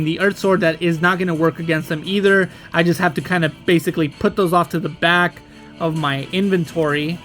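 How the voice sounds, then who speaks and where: High-voiced man walking through a game. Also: fairly bright tone. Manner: explanatory, step by step, checking with "okay?". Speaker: host demonstrating a card game. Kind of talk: casual tutorial walkthrough.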